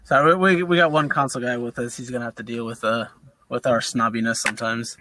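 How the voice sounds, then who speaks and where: A man's voice: mid-range voice, steady tone, fast, bright, forceful tone, dry recording. A man in his thirties, co-hosting a casual gaming podcast, talking with many fillers and restarts. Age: 30s. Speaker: podcast co-host. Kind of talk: casual chat.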